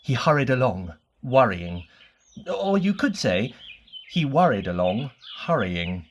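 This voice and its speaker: A storyteller narrating a children's bedtime story in an expressive, scripted reading style. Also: mid-range voice, a little slow.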